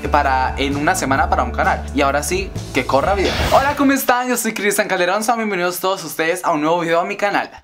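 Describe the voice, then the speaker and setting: Teen boy, Colombian accent, rambling casual vlog chatter, rapid delivery, bedroom to camera. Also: high-pitched, expressive, music in the background. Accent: Colombian Spanish. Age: teens. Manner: chatty, rambling storytelling with many fillers. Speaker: YouTuber host. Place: bedroom, indoors.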